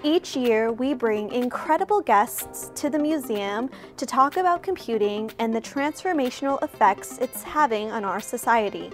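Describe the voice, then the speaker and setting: A woman's voice: fairly high pitch, expressive, normal speed, few pauses, soft background music. A woman reading a scripted introduction as the host of a speaker series.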